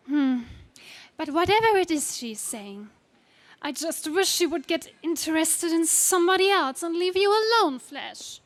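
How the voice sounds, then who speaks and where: An actress playing a devoted but jealous young heroine in a space serial radio play. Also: female voice, very high-pitched, lively intonation, unhurried, slight background noise.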